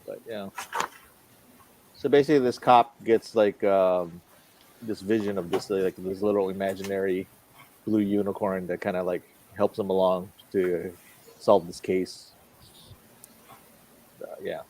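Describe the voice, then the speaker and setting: Man chatting haltingly, faint background noise. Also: sits low, steady tone, a little mellow, poor audio. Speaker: panelist on a comics drawing show. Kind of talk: casual chat.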